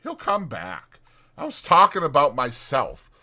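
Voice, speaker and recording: Man acting a high-pitched, bitter, lonely stable hand. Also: wildly swooping pitch, measured pace, pushed and bright, exaggerated voice. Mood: lonely, plaintive. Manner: rural folksy speech, brooding, emphatic. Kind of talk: dramatized audiobook character acting.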